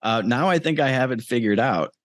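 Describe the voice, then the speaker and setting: A man's voice: mid-range voice, expressive, a little fast, no room sound. An American man in middle age talking casually and conversationally as a podcast guest, on a close mic over a video call. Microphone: close mic on a remote video call.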